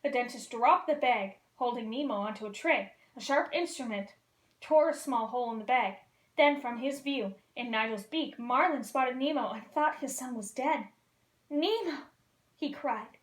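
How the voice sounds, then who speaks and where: A woman reading a children's picture book aloud as a costumed storyteller, in a lively, expressive way. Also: high voice. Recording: roomy echo.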